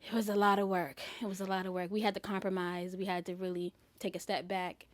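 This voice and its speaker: A woman's voice: medium pitch, little pitch movement, fast. A young woman from the Bronx, chatting easily as a singer being interviewed. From New York, the Bronx. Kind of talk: casual interview chat.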